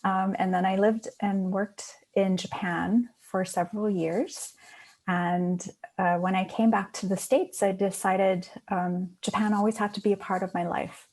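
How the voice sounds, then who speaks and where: A woman's voice: medium pitch, a little mellow, poor audio. A woman from Hawaii who manages international programs, hosting an online student panel over a video call, friendly and full of "um" fillers.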